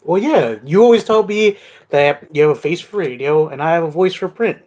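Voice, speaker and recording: A man's voice: on the high side, lively intonation, moderate pace, a little mellow, lo-fi sound. A man co-hosting a casual sports podcast, wry and joking. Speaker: podcast co-host. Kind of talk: casual chat.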